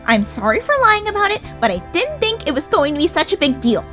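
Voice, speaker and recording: A woman voicing a petulant, scheming female sheep in an animated courtroom story, indignant and easily flustered. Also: high-pitched, fast talker, full character voice. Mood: sulky, annoyed. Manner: indignant, flustered when challenged. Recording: music behind the voice.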